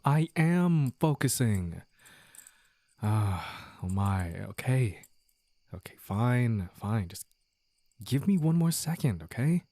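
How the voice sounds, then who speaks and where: A man playing a playful, teasing young man in an intimate romantic audio roleplay, with halting banter and mock-dramatic asides. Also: mid-range voice, very animated, warm tone, dry recording. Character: playful, teasing young man sneaking out with his childhood sweetheart.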